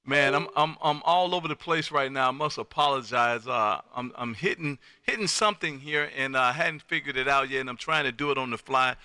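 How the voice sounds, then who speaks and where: A man's voice: medium pitch, fairly quick, hardly any pauses, pushed and bright, a little hiss or hum. An American man hosting a live ham radio stream, folksy and exuberant, repeating his phrases as he chats on air. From Texas, United States. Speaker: amateur radio operator and livestream host.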